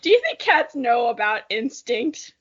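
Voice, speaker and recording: A woman's voice: fairly high pitch, big pitch swings, unhurried, a bit bright, highs cut off. A giggly, self-mocking woman co-hosting a podcast, chatting casually and reading a story aloud. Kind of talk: casual chat and amateur dramatic reading.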